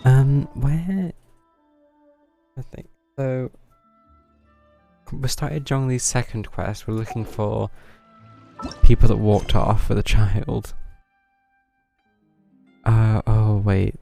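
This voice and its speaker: A man's voice: fairly low pitch, steady tone, slow pace, gravelly, pauses often, warm tone. A man streaming a game, giving casual, dry commentary as he thinks aloud. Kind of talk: casual live gameplay commentary. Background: game music.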